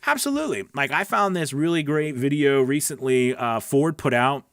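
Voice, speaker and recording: A man with a mid-pitched voice, recorded close and dry with hardly any room sound. He hosts a casual training livestream, talking straight to his viewers. His delivery is encouraging and emphatic, with a conversational habit of checking that listeners follow. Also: expressive, moderate pace, fairly bright tone, no room sound. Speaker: host of a voice-over training livestream. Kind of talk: casual livestream chat, answering viewer comments.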